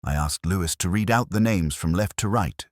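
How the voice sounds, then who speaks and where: Very deep-voiced man narrating a detective story. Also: no echo, studio quality. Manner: measured, literary, reflective. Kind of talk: scripted first-person detective story narration.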